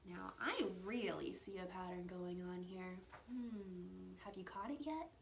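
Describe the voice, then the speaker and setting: A woman reading a children's storybook aloud like a teacher, animated and dramatic, with hushed asides to her young listeners. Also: on the low side, measured pace, vocal fry. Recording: roomy echo with faint background noise.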